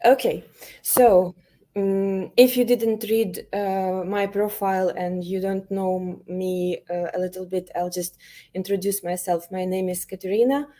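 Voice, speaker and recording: A woman from Ukraine, an elementary teacher, presenting an online workshop over a video call, speaking with many pauses, fillers and restarts. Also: mid-range voice, steady tone, dark tone.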